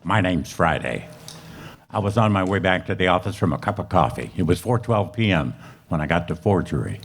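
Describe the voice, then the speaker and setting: A man playing a terse, businesslike police detective sergeant in a radio drama, clipped and deadpan. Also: low voice, fairly quick, slightly rough, full character voice. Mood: flat, matter-of-fact. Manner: clipped, deadpan, matter-of-fact. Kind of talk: 1950s radio drama narration and dialogue.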